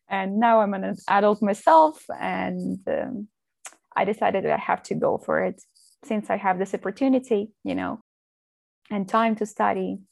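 Woman with gravelly voice. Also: medium pitch, unhurried, no room sound. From Russia. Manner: relaxed, with fillers and light laughs. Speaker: interview guest. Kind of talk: casual interview chat.

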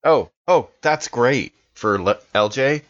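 A man's voice: mid-range voice, lively intonation, slow pace, dry recording. A man chatting casually as a player in a tabletop roleplaying game, on slightly dull audio.

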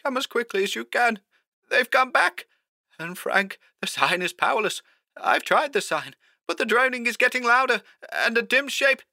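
A man voicing a terrified, despairing male horror writer in a high, strained, hoarse voice, as if calling by telephone in an audiobook. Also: expressive, moderate pace, pushed and bright, heavily acted. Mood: panicked.

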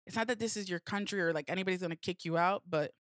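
A woman's voice: on the low side, very fast, no echo, clean studio sound. A young American woman from a Peruvian family, chatting reflectively as a podcast guest with plenty of fillers. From United States, Peruvian family. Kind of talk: casual podcast chat.

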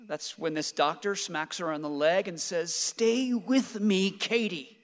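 A man's voice: sits high, unhurried. An actor playing a grieving, guilt-ridden father who clings to his guns, in a radio play. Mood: sorrowful, bitter. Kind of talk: stage-like radio play acting. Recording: reverberant hall-like room.

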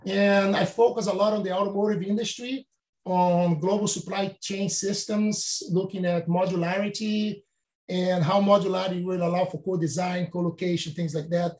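High-pitched gravelly Brazilian-born man, fifties. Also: fairly even intonation, a little mellow, echoey room. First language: Portuguese. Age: 50s. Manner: halting, non-native phrasing with frequent restarts. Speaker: professor. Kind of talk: reflective interview answers.

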